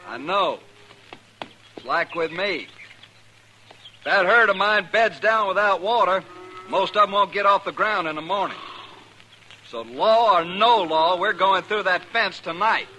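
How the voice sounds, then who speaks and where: A man's voice: sits high, a little slow, pauses often, sharp tone, slight background noise, low-quality recording. An actor playing a proud, desperate cattleman, angry and pleading, in a 1950s radio drama.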